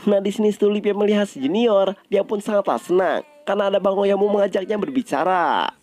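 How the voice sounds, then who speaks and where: A man's voice: high-pitched, fast, darkish tone, faint music behind. A man narrates a film recap in a casual, scripted style, addressing viewers directly in colloquial speech. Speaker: movie recap host.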